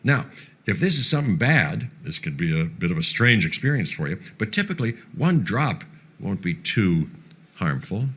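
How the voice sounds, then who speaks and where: An actor playing an odd, musing man in an audio drama, explaining things in deliberate phrases. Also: lowish voice, sing-song, fairly bright tone, slightly rough, exaggerated voice. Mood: musing, ominous.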